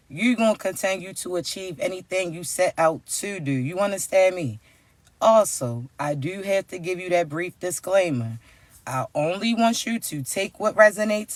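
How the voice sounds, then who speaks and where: A raspy-voiced man hosting his own channel, giving an earnest, coaxing spiritual message to his viewers and often checking in with them. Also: high voice, no echo.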